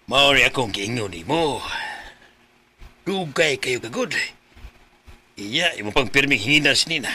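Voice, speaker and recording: A man's voice: medium pitch, lively intonation, measured pace, pauses often, pushed and bright, full character voice. A man acting a frank, advising older father in a radio drama. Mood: admonishing.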